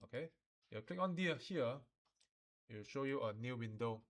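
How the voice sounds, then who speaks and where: A man giving an informal, colloquial walkthrough as a software tutorial instructor. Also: medium pitch, expressive, moderate pace. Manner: colloquial, with sentence-final particles and Chinese-English code-switching. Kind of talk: informal screen-recorded software demo.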